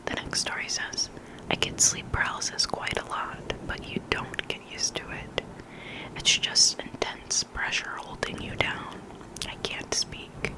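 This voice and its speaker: ASMR host for spooky story readings, fully whispered and breathy, intimate and deliberate delivery. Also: low-quality recording.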